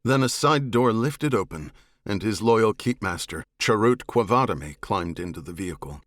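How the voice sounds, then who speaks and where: Man, low voice, serious measured delivery, scripted fiction narration, dry recording. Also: lively intonation, dry recording. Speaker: audiobook narrator.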